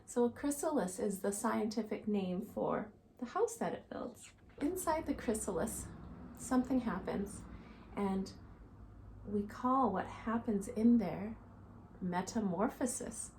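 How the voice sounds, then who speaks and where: Lady teacher doing storytime for little kids, slow and super animated, explains stuff simply. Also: medium pitch, a little hiss or hum, rough recording. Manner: deliberate, instructive, addressing young children. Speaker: teacher reading to young children. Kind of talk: expressive picture-book read-aloud and simple lesson for children. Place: small living room, indoors.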